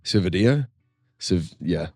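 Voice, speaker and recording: A young man, chatting casually as a guest musician on a podcast, laid-back and quick to laugh. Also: low voice, expressive, a little mellow, no echo, clean studio sound. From New Zealand.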